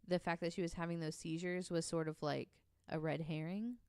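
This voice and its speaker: A woman co-host narrates a story to her friends in a relaxed, chatty way, stopping often for an um. Her voice sits low and sounds smooth and clear. The sound is dry and close to the mic. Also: fairly quick, dry recording. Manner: narrates at length with frequent ums, reads quotes aloud. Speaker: podcast co-host telling the story. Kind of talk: casual storytelling chat.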